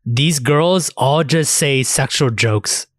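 A man in a dry-sounding recording, talking as a podcast co-host. His voice sits at an ordinary male pitch. He chats informally about anime, with crude wording and swearing. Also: slow, no echo. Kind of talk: casual chat about anime.

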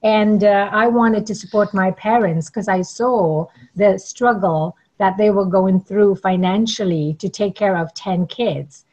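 A woman's voice: medium pitch, measured pace, hardly any pauses, warm tone, muffled recording. A middle-aged woman from Trinidad and Tobago, telling her story conversationally as an interview guest. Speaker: interview guest, networking coach.

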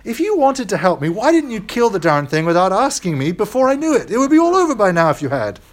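A man's voice: very animated, fast. A man voicing a frightened male ghost who pleads and whines in a strained, rising voice. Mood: panicked. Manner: whining, high and strained when panicked.

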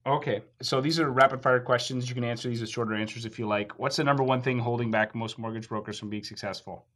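A man hosting a podcast interview, chatty and joking while firing off quick questions. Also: mid-range voice, fairly even intonation, a bit bright. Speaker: podcast host.

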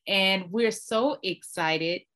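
A woman hosting a podcast, reading out guest introductions and then asking her guests questions in a measured way. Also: mid-range voice, fairly bright tone. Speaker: podcast host.

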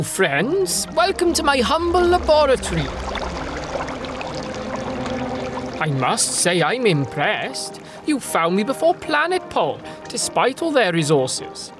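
A smug mad scientist from a sci-fi radio play. The voice swoops theatrically and sits a little high, with grand, mock-courteous phrasing. Music plays clearly underneath. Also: female voice, very animated, normal speed. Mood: smugly gracious. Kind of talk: sci-fi audio drama acting.

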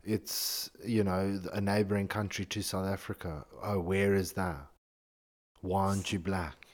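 A man's voice: sits low, little pitch movement, measured pace. A man from Zimbabwe co-hosting a podcast, bantering casually in a laid-back but opinionated way. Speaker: podcast co-host.

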